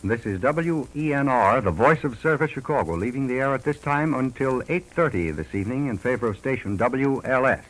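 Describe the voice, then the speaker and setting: A man's voice: medium pitch, expressive, brisk, few pauses, fairly bright tone, poor audio. Male American station announcer, medium register, formal 1940s radio delivery.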